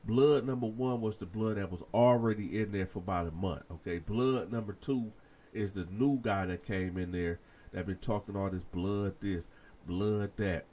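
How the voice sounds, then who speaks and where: A young man with a stuffy nose telling a prison story in a casual, animated way, talking straight to his listeners. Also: fairly low pitch. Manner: animated, addresses listeners directly, slangy.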